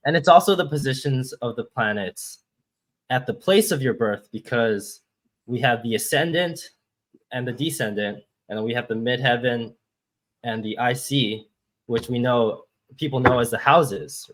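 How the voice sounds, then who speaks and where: A man's voice: mid-range voice, fairly bright tone. A man from Hong Kong, a guest astrologer, patiently explaining step by step over a video call. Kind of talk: explaining with shared screen.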